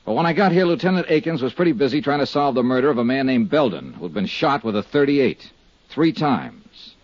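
A muffled, dry 1950s radio recording of a world-weary American investigator. His voice is low and husky, and he talks in clipped, candid, hard-boiled phrases. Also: sing-song, fairly quick, dry recording. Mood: matter-of-fact. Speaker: lead actor and narrator of a detective serial. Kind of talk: 1950s radio detective drama acting.

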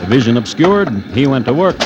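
An actor playing a wry, folksy storyteller who narrates a radio tale in deliberate, suspenseful phrases. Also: male voice, medium pitch, lively intonation, highs cut off, noisy background, lightly acted.

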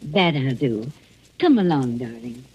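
A woman's voice: a bass voice, animated, measured pace, mellow, slightly rough, exaggerated voice. An actress playing a big, motherly, pious old housekeeper in a 1940s radio drama. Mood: comforting. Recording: muffled old radio recording.

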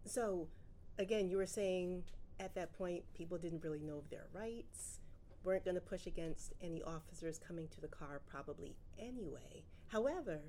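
Low-voiced woman interviewer, noisy line. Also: normal speed, mellow. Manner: probing, encouraging questions. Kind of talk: interview questions.

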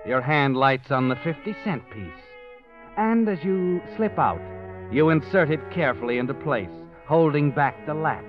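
A man's voice: on the high side, expressive, normal speed. A man narrating a radio drama in the second person, insinuating and leading. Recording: dull old radio recording. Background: dramatic orchestral music.

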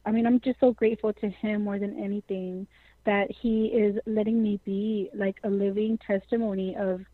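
A woman's voice: medium pitch, steady tone, moderate pace, dry recording, narrow, tinny audio. A woman in her forties, a singer joining an interview over the phone, talking effusively and peppering her sentences with "you know". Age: 40s. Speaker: singer, guest. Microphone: phone line.

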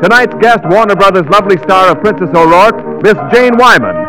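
A man's voice: high-pitched, a little fast, low-quality recording. A man announcing a scripted 1940s radio show. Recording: old radio broadcast with music behind.

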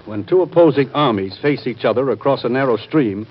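A man playing an anxious, cautious government secretary, formal and earnest, with a husky voice in an old-time radio drama. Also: medium pitch, lively intonation, brisk, natural voice. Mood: worried. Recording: dull, band-limited old radio recording.

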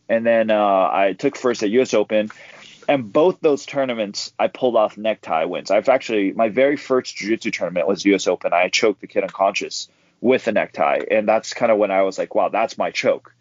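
A young man, a professional fighter, telling stories in a relaxed way as a guest on a casual podcast interview, laughing easily. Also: fairly low pitch, no echo. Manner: laid-back storyteller who laughs easily. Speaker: guest, pro MMA fighter. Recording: dull dry audio.

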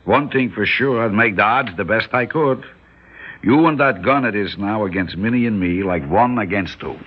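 An actor playing a calm, stubborn older shopkeeper who reasons in wry questions and Yiddish-inflected phrasing, in old-time radio style. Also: male voice, on the low side, normal speed, slightly rough. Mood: shrewd, persuasive. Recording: muffled old radio recording.

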